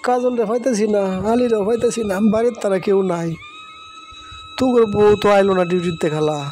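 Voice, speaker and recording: A man's voice: high-pitched, a little mellow, lightly acted. A man voicing a distressed, helpless village father who laments in a wailing, repetitive way in a cartoon. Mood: anxious. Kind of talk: Bengali moral-story cartoon dialogue.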